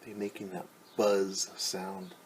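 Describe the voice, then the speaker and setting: A man reading a picture book aloud as a teacher, pausing to ask children questions and explain things simply. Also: deep voice, completely flat delivery, slight background noise.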